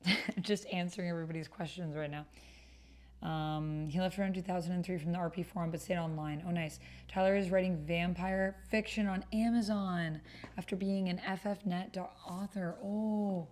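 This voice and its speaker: A woman's voice: on the low side, steady tone, moderate pace, darkish tone. A woman streamer hosting a casual livestream, informal and gushing, often half talking to herself as she types and reads messages aloud. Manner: murmurs to self while typing and reading messages aloud. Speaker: streamer and host. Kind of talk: casual livestream chat while playing a text roleplay game. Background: computer keyboard typing.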